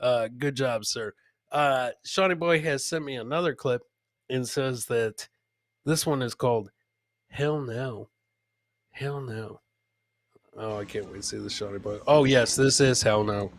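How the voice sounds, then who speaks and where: A man hosting a casual comedy show, commenting on clips and often repeating phrases for emphasis. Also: mid-range voice. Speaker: podcast host.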